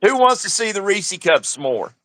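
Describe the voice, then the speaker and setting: Man, casual livestream co-host, blunt and crude, bright edgy tone, slightly high voice. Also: sing-song, moderate pace. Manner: blunt, crude, laughs often. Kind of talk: casual joking commentary.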